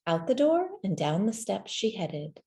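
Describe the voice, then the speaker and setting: Woman, children's storybook narrator, slow pace, soft mellow tone, gentle and measured, deliberate pauses. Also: mid-range voice, sing-song. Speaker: storybook reader. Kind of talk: scripted children's story narration, read aloud.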